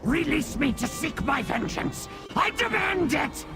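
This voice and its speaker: A man voicing a small, cursed, proud creature bent on vengeance in a fantasy video game, with a harsh squeaky voice and imperious delivery. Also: very animated, a little slow, vocal fry, recorded from a distance, music in the background, full character voice. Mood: furious, vengeful. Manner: theatrical, imperious exclamations.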